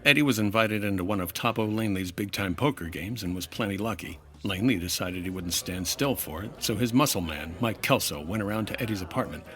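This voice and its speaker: A man's voice: on the low side, brisk, few pauses, a bit bright, close to an everyday voice. A man playing a dry, businesslike insurance investigator in old-time radio detective style, clipped, wry and matter-of-fact. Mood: probing, firm. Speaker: actor in a comedy audio drama.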